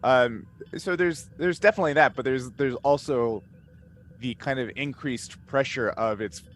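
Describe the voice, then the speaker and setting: A man with a mid-range voice chats casually in a halting, rambling way, often circling back as he works out his thought. Faint noise sits behind him. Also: medium pitch. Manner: halting, rambling, thinking aloud. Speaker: podcast cast member and game master. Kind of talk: casual panel chat answering fan questions. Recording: noticeable background noise.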